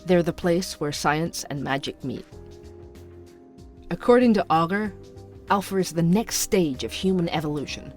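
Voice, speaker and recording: A man's voice: fairly high pitch, expressive, moderate pace, long pauses, natural voice. Actor as a sardonic young male podcaster over music. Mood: wry, scornful. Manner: wry, conspiratorial, conversational. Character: sardonic, suspicious young coffee-shop night worker turned podcaster. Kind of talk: first-person podcast narration in audio drama.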